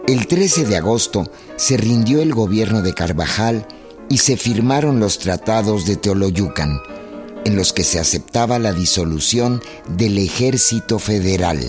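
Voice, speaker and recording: Historical drama narrator: male, lowish voice, formal, measured storytelling with prominent string music. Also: animated. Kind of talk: scripted documentary-drama narration.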